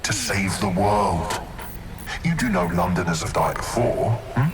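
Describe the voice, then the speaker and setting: An actor playing a smug, coolly menacing male terrorist mastermind in a video game, measured and mocking over music. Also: deep voice, very animated. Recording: very loud music under the voice.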